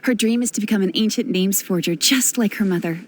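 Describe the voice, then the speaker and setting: A woman playing a composed, kindly young tribeswoman in a fantasy video game, telling things in a measured, reassuring way. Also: medium pitch, a little hiss or hum, lightly acted. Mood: calm, kindly. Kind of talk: video game character acting. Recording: music behind the voice.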